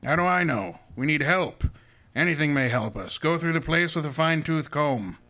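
A man's voice: on the high side, animated, normal speed, pushed and bright. A man playing an imperious, erudite master detective in a radio drama, speaking in a formal, precise and pompous way. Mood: commanding. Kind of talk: old-time detective radio drama acting.

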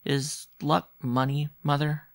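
A man's voice: a little high, flat delivery, takes it slowly, no room sound, voice pushed up. An earnest young boy from a storybook, acted out by a man, with the voice lifted well up. He is timid but stubborn, pleading and questioning in a close, dry sound. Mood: timid, curious. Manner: pleading, wide-eyed questioning. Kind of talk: storybook character dialogue.

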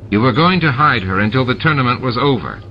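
A man voicing a stern, dry shapeshifting male security chief who talks in measured, sarcastic sentences. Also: mid-range voice, expressive, slight background noise, voice pushed up, natural voice. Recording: dull lo-fi with music behind.

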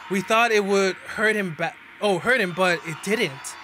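A man's voice: high voice, a little slow, sharp tone, slight background noise. A man in his twenties giving casual reaction commentary into a close desk mic, reading captions aloud with slangy, exclamatory asides. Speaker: reaction video host. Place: small bedroom, indoors. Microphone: desk microphone up close, headphones on.

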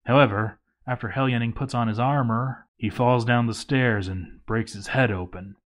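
A man's voice: mid-range voice, steady tone, normal speed. A man reading a written game playthrough story aloud as a dramatic scripted narration.

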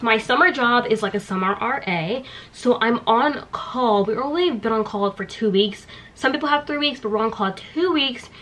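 A young woman chatting casually to camera in a small dorm room, animated and quick with asides. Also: a little high. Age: young adult. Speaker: vlogger. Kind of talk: casual vlog chat to camera. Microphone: handheld camera close up.

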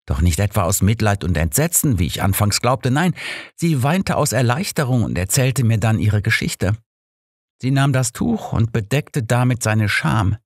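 A man voicing an embittered woman who makes a soft, measured confession of murder in an audiobook. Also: mid-range voice, lively intonation, no echo, studio quality. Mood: grim, confessional.

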